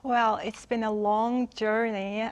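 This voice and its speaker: A woman's voice: on the high side, flat delivery, slow pace. A woman in her thirties from Azerbaijan, an English speaker, answering interview questions hesitantly and restarting phrases. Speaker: interview guest.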